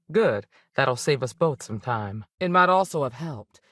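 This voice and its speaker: A woman's voice: very deep voice, lively intonation, unhurried, fairly bright tone, no room sound, clean studio sound, heavily acted. A woman playing a seasoned, firm but fair space ranger in a video game, plainspoken and authoritative, with folksy turns of phrase. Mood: firm, measured. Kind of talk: video game character dialogue.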